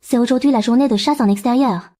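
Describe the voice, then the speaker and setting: A woman playing an excitable young academy companion in a dubbed animated drama, expressive and full of exclamation. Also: on the high side, quick pace, natural voice. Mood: excited.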